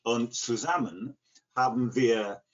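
An English man in his sixties with an English accent, answering interview questions haltingly as he searches for words in a second language. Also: mid-range voice. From England, near Liverpool. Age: 60s. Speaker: interview guest, language learner. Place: living room at home. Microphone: computer mic on video call. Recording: dull, lo-fi video call.

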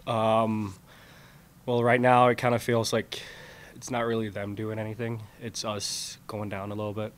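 Young hockey player, measured. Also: male voice, fairly low pitch, monotone. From Sweden. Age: young adult. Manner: plain, measured answers with many "um"s. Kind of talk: post-game press conference. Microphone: podium mic.

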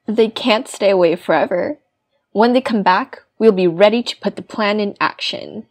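A woman's voice: mid-range voice, normal speed, natural voice. A woman playing a bossy, scheming young woman in an audio drama, curt and commanding.

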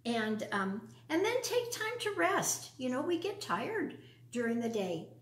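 An older American woman in her sixties or beyond hosting a children's storytime, talking and reading aloud in simple, deliberate phrases for young listeners. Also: high-pitched, very animated. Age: 60s or older. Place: living room at home, indoors. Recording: roomy echo.

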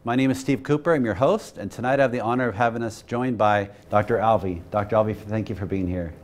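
A man's voice: lowish voice, quick pace, slight background noise. A middle-aged man hosting a studio interview show, asking questions in a friendly, upbeat way, on a lapel mic. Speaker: talk show host. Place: television studio set.

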